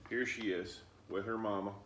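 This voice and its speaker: A man doing an expressive storybook read-aloud for young children, pausing to point things out. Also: lowish voice, a little hiss or hum. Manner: animated, pauses to point things out. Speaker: storybook reader. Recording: roomy echo, distant mic.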